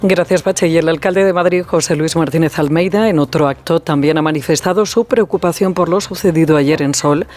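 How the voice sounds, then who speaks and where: Higher-pitched Castilian Spanish male news anchor. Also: fairly quick, hardly any pauses, darkish tone. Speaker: radio news presenter. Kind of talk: news presenting.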